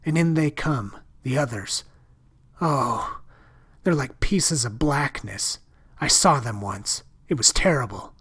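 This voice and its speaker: A man's voice: mid-range voice, expressive, slow, a bit bright, breathy edge, full character voice. A man reading a story, voicing a timid, frightened young woman with breathless, whispery speech.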